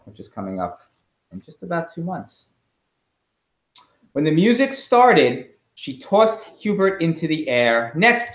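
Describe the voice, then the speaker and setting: Middle-aged male, mid-pitched, expressive bedtime read-aloud host who pauses to ask children questions, recorded in an echoey home room. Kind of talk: animated read-aloud storytelling with chatty asides to children. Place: indoors at home.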